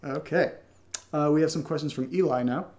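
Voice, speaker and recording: A man's voice: fairly high pitch, fairly quick, a little mellow. A young man co-hosting a podcast in a casual, unscripted question-and-answer chat, with a playful, joking, informal manner. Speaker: podcast co-host and creator.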